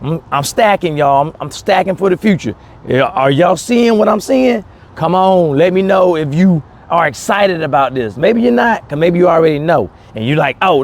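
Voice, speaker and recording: Excited man, higher-pitched voice. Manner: excited, emphatic, repeats key words for effect. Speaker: host of a gardening vlog. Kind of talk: casual, enthusiastic talk to camera.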